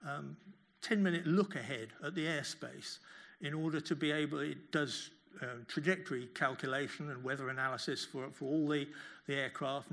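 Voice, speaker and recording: Older male academic, creaky voice, halting delivery, answering questions at a lecture. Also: fairly high pitch, a bit bright. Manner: halting, repeats words while phrasing. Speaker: professor giving a lecture.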